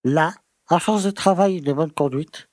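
An actor playing a pompous, grumbling conservative small-town mayor who lectures and scolds in a French audio drama. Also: male voice, on the high side, moderate pace, a little mellow, dry recording, studio quality, close to an everyday voice. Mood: scolding.

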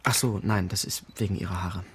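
A man's voice: deep voice, fairly even intonation, a little fast, fairly bright tone, a little hiss or hum. An actor playing a nervous young male music student who answers hesitantly and stammers in a radio crime drama. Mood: uneasy. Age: young adult.

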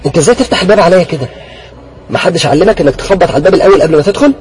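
A man with an Egyptian accent voicing a frightened young woman who scolds and pleads in a shrill, hurried way while telling a ghost story. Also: gravelly, crowd noise. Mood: scolding. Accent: Egyptian Arabic.

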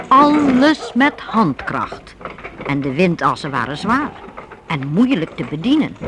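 A woman narrating a radio play as a deliberate, expressive storyteller reading a script. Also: lowish voice, noisy background.

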